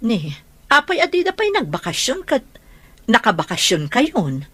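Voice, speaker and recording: A woman's voice: a little high, huge pitch swings, moderate pace, fairly bright tone, slightly rough, full character voice. A woman playing a lonely, ailing, affectionate middle-aged mother in a radio drama, tender and plaintive. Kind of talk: Ilocano radio drama acting.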